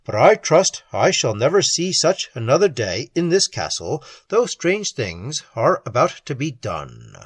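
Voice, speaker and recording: A reader voicing a guarded male castle servant who speaks slowly and ominously. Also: medium pitch, big pitch swings, slightly rough, no room sound.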